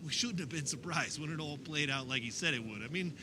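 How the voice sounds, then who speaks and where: A man's voice: a little high, noisy background, close to an everyday voice. A man playing an earnest fisherman disciple in a religious radio drama, recounting memories in a conversational, wistful monologue. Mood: earnest, reflective. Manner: conversational, wistful storytelling with wondering pauses.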